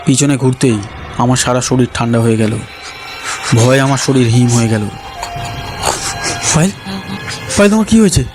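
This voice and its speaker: Man, horror story narrator, scripted first-person telling with acted dialogue, medium pitch, very loud background music. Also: moderate pace, pauses often. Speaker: narrator and lead character.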